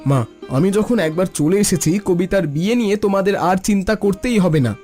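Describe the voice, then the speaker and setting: Man voicing a high-pitched boastful city-returned son. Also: moderate pace, darkish tone, lightly acted. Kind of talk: Bengali cartoon voice acting. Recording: music bed behind the voice.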